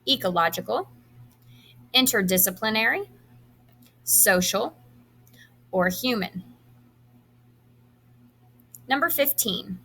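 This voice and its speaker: Bright-voiced woman teacher reading aloud. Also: mid-range voice. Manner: instructional, enunciates quiz items deliberately. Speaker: high school science teacher. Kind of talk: reading quiz questions aloud to students, with brief instructions.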